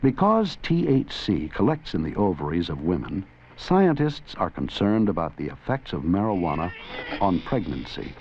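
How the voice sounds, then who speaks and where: A man's voice: low-pitched, big pitch swings, normal speed, vocal fry, darkish tone, slight background noise. A man narrating an educational film from a script, in an earnest, didactic way. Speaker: documentary narrator and presenter. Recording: dull 1980s educational film soundtrack.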